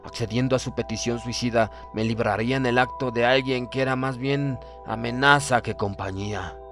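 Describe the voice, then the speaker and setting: A male audiobook narrator reads a horror tale in a formal, measured way with a dramatic touch. His pitch is medium, and music plays behind the reading. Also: fairly even intonation. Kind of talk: scripted horror story narration, first person.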